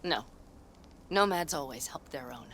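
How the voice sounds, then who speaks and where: A woman's voice: low voice, expressive, measured pace, fairly bright tone, a little hiss or hum, exaggerated voice. An actress playing a blunt, determined female nomad fighter in a game cutscene. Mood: vengeful. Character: fierce loyal female nomad fighter, vengeful. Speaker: game character. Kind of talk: game cutscene and combat voice acting.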